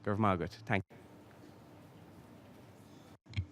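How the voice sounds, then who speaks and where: A man reading a measured, formal prepared speech as a youth delegate in a large conference hall. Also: low voice, fairly even intonation, a bit bright. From Ireland.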